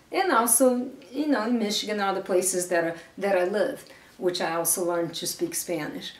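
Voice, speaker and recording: Woman, middle-aged, highish voice, emphatic promotional talk, distant echoey mic. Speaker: business presenter pitching investments. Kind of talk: unscripted promotional talk to camera. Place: small bare room indoors. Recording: echoey room, off-mic, faint noise.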